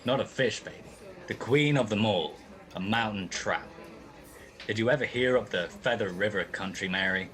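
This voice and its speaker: Male radio-drama gumshoe, acted, deep and sharp-edged, dry wisecracks and tough slang. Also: moderate pace, lightly acted. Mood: playful teasing. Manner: slangy, wry, clipped tough talk. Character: wisecracking hardboiled male private detective narrating his cases. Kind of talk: 1950s radio detective drama acting.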